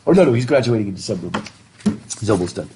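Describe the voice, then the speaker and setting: A man's voice: lowish voice, animated, racing, background noise. A middle-aged man teaching a literature class with a stuffed up nose, chatty and laughing as he asks the class questions. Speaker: professor. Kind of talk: classroom lecture. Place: classroom with a blackboard. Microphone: laptop webcam.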